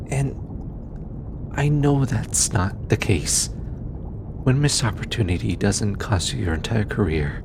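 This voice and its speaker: A man voicing an anxious, earnest alien scientist in an intimate audio roleplay, hesitant and halting, as if easily flustered. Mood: vulnerable, anxious. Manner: hesitant, halting, stammering, sighing. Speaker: voice actor. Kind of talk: scripted audio roleplay, intimate one-sided dialogue. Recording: clearly noisy, low rumbling background.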